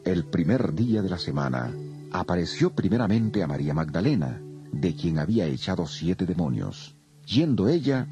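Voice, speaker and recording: Very deep male scripture narrator over music. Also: lo-fi sound. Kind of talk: solemn dramatized scripture narration.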